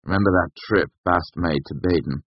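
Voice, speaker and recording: A man's voice: sits low, moderate pace, lo-fi sound. A man voicing a mild, unassuming village innkeeper in an audiobook. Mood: confiding.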